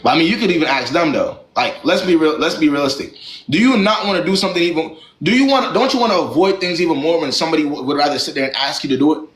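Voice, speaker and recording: A man's voice: on the high side, racing, echoey room. A young man hosting a live stream who gives callers blunt, slangy relationship advice in a lecturing way.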